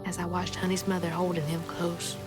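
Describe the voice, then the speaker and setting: A woman's voice: lowish voice, flat delivery, a little fast, lightly acted. A young woman playing a determined, sassy video game heroine, with a Southern US accent. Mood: wistful narration. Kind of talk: video game acting, dialogue and narration. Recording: loud music behind.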